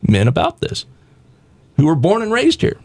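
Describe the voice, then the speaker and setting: A man from the American South hosting a talk show, speaking bluntly and provocatively with halting restarts. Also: a little high, wildly swooping pitch, creaky, a little mellow, slightly rough, no echo. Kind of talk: opinionated radio talk.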